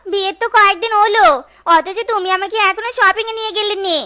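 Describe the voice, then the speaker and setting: A woman's voice: very high-pitched, steady tone, quick pace. A woman voicing a tall, bossy young cartoon wife, short-tempered, scolding and nagging. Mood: nagging, demanding. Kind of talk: animated children's cartoon dialogue. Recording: loud children's music behind the voice. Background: children's music.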